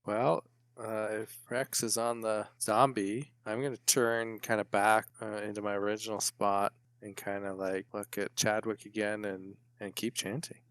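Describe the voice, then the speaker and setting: A man's voice: on the low side, flat delivery, moderate pace, fairly bright tone, clean tone. A man talking casually as a player in a tabletop game, hesitantly thinking aloud.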